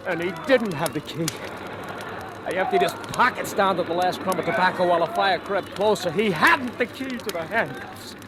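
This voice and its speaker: An actor playing a shrewd, cynical convicted embezzler who narrates his crime in deliberate, dramatic 1940s radio thriller style. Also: high-pitched, animated, heavy background noise. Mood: tense, urgent. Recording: old radio drama with music behind.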